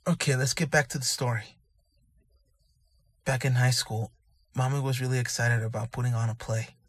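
Husky, grieving young father, acted by a man. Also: medium pitch, steady tone, dry recording, lightly acted. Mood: tender, wistful. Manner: soft, halting, emotional delivery with sighs. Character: gentle, grieving young father, remorseful and tender. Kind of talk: anime fan dub acting.

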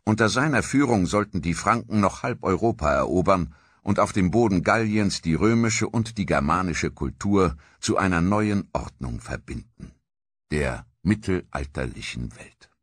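Deep, animated male storyteller, husky. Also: normal speed, no room sound. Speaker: documentary narrator. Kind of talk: dramatic scripted narration.

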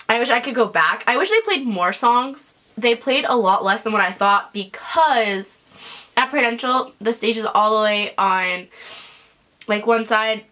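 A young woman tells a story straight to a camera set up in her small bedroom, her voice bright and forward. She sounds congested and sniffly, and she talks in a loose, chatty way, full of asides and fillers. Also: medium pitch. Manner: chatty and animated, lots of "like" and "whatever". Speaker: vlogger. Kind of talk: casual storytelling vlog. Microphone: camera in front at desk distance.

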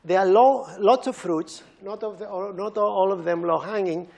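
A middle-aged man from Greece with a Greek accent, giving a relaxed university seminar lecture while pacing an auditorium stage. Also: high-pitched, normal speed, creaky. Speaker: professor giving a seminar talk.

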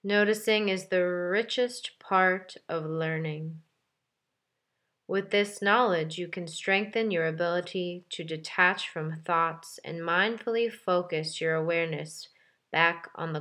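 A woman leading a guided meditation in a calm, gentle, measured way with deliberate pauses between phrases. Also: fairly low pitch. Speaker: meditation guide, yoga teacher. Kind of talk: guided meditation, soft instructional delivery.

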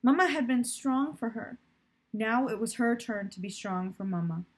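A young woman reading a children's novel aloud in a storytelling style, with a husky voice. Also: on the high side, normal speed. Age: young adult. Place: plant-filled room indoors by a window.

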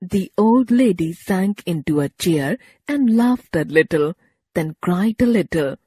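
Slow, animated woman storyteller. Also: on the low side, slightly rough, no echo, clean studio sound. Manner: measured, expressive storybook delivery. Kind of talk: scripted children's story narration.

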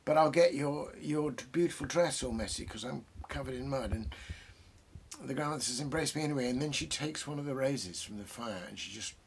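A British man in his sixties, a host talking about books and reading aloud, reflective and savouring his phrases with deliberate pauses. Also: medium pitch, a little hiss or hum, poor audio. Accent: British English. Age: 60s. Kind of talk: bookish commentary and reading aloud. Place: small book-crammed study, indoors.

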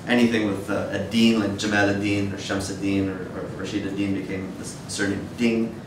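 Male academic, deep voice, measured and hesitant lecture delivery, recorded from afar in a reverberant room. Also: flat delivery. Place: dim wood-shelved seminar room with audience, indoors. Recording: echoey room, distant mic, noisy rumble.

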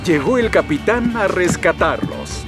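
A man with a Latin American Spanish accent giving scripted, expressive storybook narration for a cartoon. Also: sits high, unhurried, over music.

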